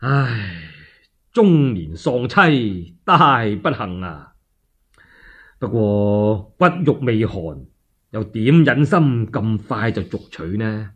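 A man's voice: fairly low pitch, big pitch swings, slightly rough, muffled recording, voice pushed down, heavily acted. A man voicing a modest middle-aged widowed lord with a Cantonese accent, mournful and hesitant, in a radio story. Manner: sighing, slow, hesitant.

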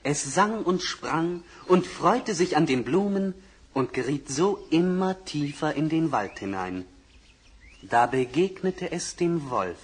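A man narrating a fairy tale for an old record, measured and expressive. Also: a little high. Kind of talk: storybook narration. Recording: vinyl record, faint hiss.

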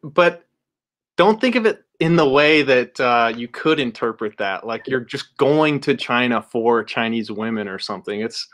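A man's voice: medium pitch, normal speed. A young man, talking easily on a video call from a room at home, wearing earbuds. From Dallas, Texas, United States. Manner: relaxed, easygoing, hedging with uh. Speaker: YouTuber guest. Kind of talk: casual chat. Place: room at his mother's house.

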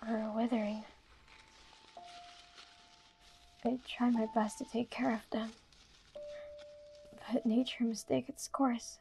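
An actress playing a grieving, regretful young woman in a hushed, halting inner monologue for an animated short. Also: on the high side, gravelly, slight background noise. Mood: subdued, resigned. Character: grieving, regretful young woman mourning her lost beloved. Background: music.

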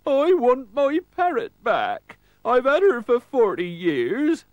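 A man voicing a weepy old pirate captain with a drawn-out wail in a storybook reading. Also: very high voice, huge pitch swings, no echo, highs cut off, pitched above the performer's own voice, exaggerated voice. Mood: tearful. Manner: wailing, drawn-out. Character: weepy old male pirate captain pleading for his parrot.

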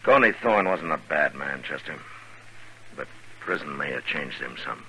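An actor playing a calm, steady frontier marshal in a 1950s radio western. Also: male voice, rumbling low, normal speed, heavily acted. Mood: grave, calm. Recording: noisy old radio broadcast recording.

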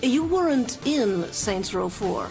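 A woman's voice: on the high side, very animated, takes it slowly, over music, full character voice. A woman playing a composed, inquisitive TV interviewer in a video game cutscene. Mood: flat, correcting.

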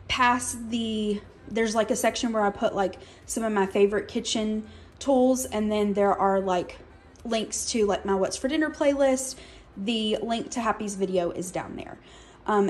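Chatty woman with a twang. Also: on the high side. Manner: chatty, many fillers like "um" and "like". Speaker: cooking channel host. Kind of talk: casual grocery haul vlog voice-over. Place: home kitchen.

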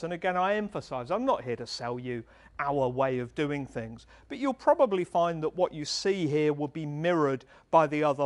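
A middle-aged man giving an earnest, conversational talk at a tech meetup, speaking into a handheld mic in a large hall. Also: fairly high pitch. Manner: conversational, earnest, gestures while presenting. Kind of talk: conference talk. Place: large dark event hall.

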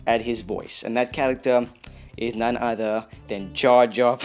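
A man's voice: medium pitch, fairly even intonation, a little slow, darkish tone, soft background music. A young man presenting a casual tutorial in a jokey, self-deprecating, chatty way. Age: young adult. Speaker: host of a video effects tutorial channel. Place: small dim room indoors.

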